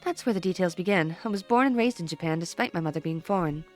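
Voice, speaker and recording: A woman voicing a gentle, polite, composed teenage schoolgirl in a visual novel, speaking in a soft, measured, courteous way. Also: lowish voice, lively intonation, soft background music, lightly acted.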